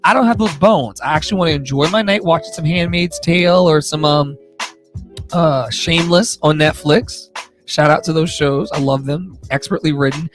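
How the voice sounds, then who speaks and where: A man with a fairly high voice hosts his own live singing show. He talks in loose, effusive rambles full of slang and swearing, with music playing along. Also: moderate pace. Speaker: singer hosting a live audio room show. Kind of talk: casual, rambling livestream chat.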